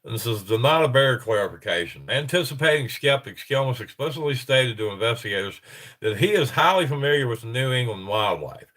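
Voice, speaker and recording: A man's voice: mid-range voice, expressive, brisk, fairly bright tone, poor audio. Congested middle-aged man rambling. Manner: rambling, chuckling, self-interrupting. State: congested, stuffy nose. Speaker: livestream host. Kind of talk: casual livestream chat, reading reports aloud.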